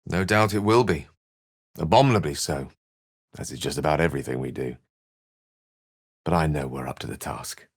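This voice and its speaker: A man's voice: very deep voice, normal speed, pauses often, husky, no room sound, studio quality, heavily acted. An actor playing a wry, scholarly middle-aged wizard in a fantasy video game, articulate and theatrical in his phrasing. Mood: dry amusement. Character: eloquent, wry, scholarly male wizard adventurer.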